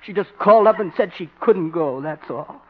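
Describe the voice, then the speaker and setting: A muffled old radio recording of a lovesick teenage boy. He sounds downcast and earnest, with a fairly high young voice in period sitcom style. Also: animated, normal speed. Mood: glum. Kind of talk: 1950s radio situation comedy acting.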